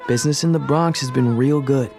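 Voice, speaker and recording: A man's voice: medium pitch, a little fast, a little mellow, soft background music, lightly acted. An actor playing a wary, slangy male underworld associate in a period radio drama, sly in his dealings.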